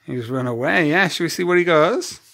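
A man's voice: fairly high pitch, animated, a little fast, gravelly, fairly bright tone. A man talking casually to a young child in a bedroom, asking prompting questions and encouraging the answers. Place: small bedroom, indoors.

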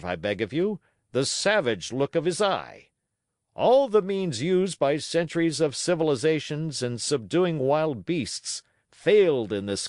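A man's voice: a little high, no echo, studio quality, pitched above the performer's own voice. A man putting on a pompous circus ringmaster. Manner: grandiose showman's address. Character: pompous boastful male circus manager.

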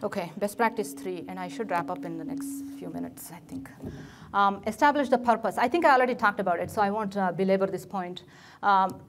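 A woman's voice: mid-range voice, fairly quick, a little hiss or hum. Woman with an Indian accent giving a talk. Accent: Indian English. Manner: frequent fillers and restarts, gestures at slides. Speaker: conference speaker. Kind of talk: technical presentation. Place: lecture room, presenting in front of a projected slide.

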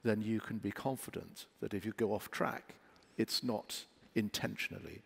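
A man in his fifties, a coach speaking on a panel, measured with slight restarts. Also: lowish voice, gravelly, a bit bright, slightly rough. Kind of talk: panel discussion. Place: large hall on stage. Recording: hall reverb.

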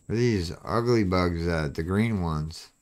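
Deep-voiced man narrating his gameplay. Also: measured pace. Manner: chatty, talks to viewers while playing. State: throat clogging, clears throat. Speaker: gaming channel host. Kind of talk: casual gameplay commentary.

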